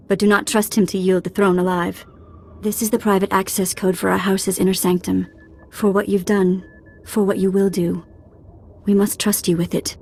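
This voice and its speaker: An actress playing a proud, vengeful princess in a video game, formal and forceful. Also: female voice, mid-range voice, husky.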